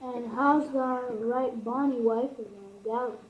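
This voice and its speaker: A woman's voice: fairly high pitch, a little slow, lightly acted. Young woman playing chummy Yorkshire King, muffled recording. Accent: Yorkshire dialect, acted. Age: teenager. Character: folksy, chummy male King speaking broad Yorkshire. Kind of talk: amateur student radio play acting.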